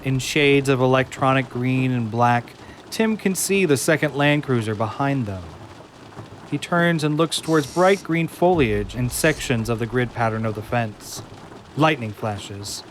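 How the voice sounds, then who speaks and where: A man narrating an audio drama from a script in a deliberate, suspenseful way. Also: medium pitch, slight background noise. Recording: loud rain and storm effects behind.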